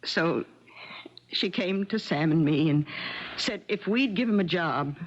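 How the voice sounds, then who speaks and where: A woman's voice: on the low side, lively intonation, a little slow. An actress playing a distraught middle-aged mother with a fretful, urgent manner in a 1970s radio drama. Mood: earnest. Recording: dull lo-fi 1970s radio recording.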